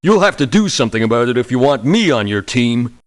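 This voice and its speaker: Game mercenary, played by a man: wary professional, firm, matter-of-fact, mid-pitched, recorded very dry. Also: sing-song, fairly quick, dry recording, close to an everyday voice. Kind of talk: video game character voice lines.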